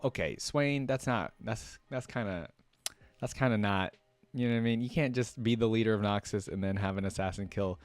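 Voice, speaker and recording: A man's voice: lowish voice, no echo. A man hosting a reaction video, reading aloud and breaking into informal, rambling casual commentary. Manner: informal, rambling asides between read passages.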